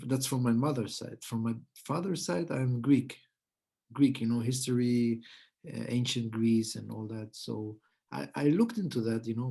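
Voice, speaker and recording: A man born in Peru and living in Switzerland, hosting a casual podcast chat with a husky voice, often searching for words. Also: mid-range voice, mellow. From Peru-born, lives in Switzerland. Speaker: podcast host. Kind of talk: casual spiritual conversation.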